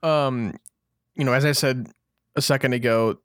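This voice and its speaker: A man with an average-pitched voice co-hosts a casual news discussion. He talks conversationally, with a fan's enthusiasm. The sound is very dry, without room echo. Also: fairly even intonation, normal speed, a bit bright, smooth. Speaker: podcast co-host.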